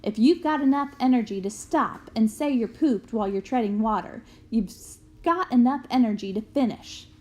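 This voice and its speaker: Woman as a high-voiced, encouraging swimming coach. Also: moderate pace, hardly any pauses, mellow, lightly acted. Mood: firm. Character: encouraging but firm female swimming coach.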